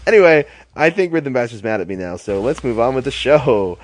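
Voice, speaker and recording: A man's voice: medium pitch, sing-song, fairly quick. A man with a stuffy, congested voice hosting a casual podcast, laughing and swearing as he bickers with his co-hosts. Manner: laughing often, swearing, playful. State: congested from a cold. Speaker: podcast host.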